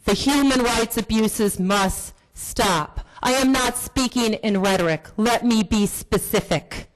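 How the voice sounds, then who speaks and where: Woman giving a forceful accusatory public comment. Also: a little high, takes it slowly, rough recording. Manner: forceful, accusatory, repetitive rhetoric. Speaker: public commenter at county meeting. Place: county board meeting room.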